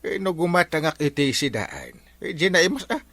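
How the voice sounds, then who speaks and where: Excitable village captain, wildly swooping. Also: male voice, fairly high pitch, a little fast, no echo, exaggerated voice. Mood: flustered. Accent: Ilocano. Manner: exclaiming, stammering, comic. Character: excitable, easygoing village captain, a married man. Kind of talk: comedy radio drama acting.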